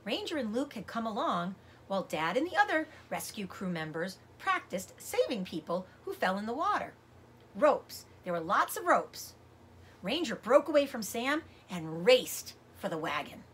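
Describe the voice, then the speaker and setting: A middle-aged woman reading a children's novel aloud as a teacher does, with expressive narration. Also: medium pitch, moderate pace, husky. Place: indoors, room with bookshelves.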